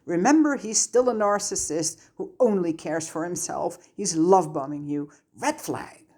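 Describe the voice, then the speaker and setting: A woman's voice: lowish voice, lively intonation, moderate pace, mellow. A woman voicing an earnest, bossy, talkative teenage schoolgirl know-it-all with an urgent, emphatic manner in a story reading. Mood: urgent warning.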